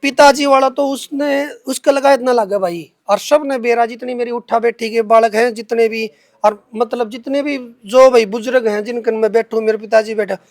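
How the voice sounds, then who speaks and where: A young man with a Haryanvi accent speaks in a strikingly high-pitched voice. He is giving an interview in his own defence, colloquial and emphatic, often repeating himself. The sound is close and dry, with very little room in it. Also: fairly even intonation, normal speed, no echo. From Haryana, India. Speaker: interviewee answering accusations against him.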